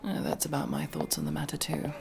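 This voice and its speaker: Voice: mid-range voice, big pitch swings, fairly quick, gravelly, background noise. A performer voicing a guarded, sardonic masked elf rogue in a casual ASMR roleplay, speaking to the listener with hesitant asides. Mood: wry, casual. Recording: music playing behind the voice.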